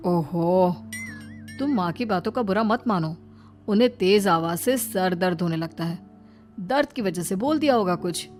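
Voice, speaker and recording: A woman voicing a calm family man who reassures and explains in a cartoon moral story. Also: on the low side, normal speed, pitched below the performer's own voice, natural voice. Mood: calm, reassuring. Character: calm, placating family men, husband and father-in-law. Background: faint music.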